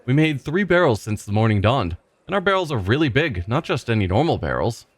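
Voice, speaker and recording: A man's voice: medium pitch, very animated, brisk, dry recording. A man voicing a cheerful, simple-minded male village fisherman who rambles and repeats himself proudly. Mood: boastful. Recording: faint game music behind.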